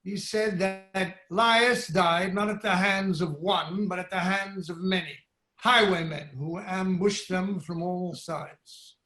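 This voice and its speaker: A grave royal envoy from Greek tragedy with urgent news. His voice is high for a man, measured and insistent. The room echoes around him on a video call. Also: fairly even intonation, bright, forceful tone, exaggerated voice. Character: grave, urgent Theban royal envoy bringing an oracle's command. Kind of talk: dramatic reading of Greek tragedy. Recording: roomy, echoing video-call audio.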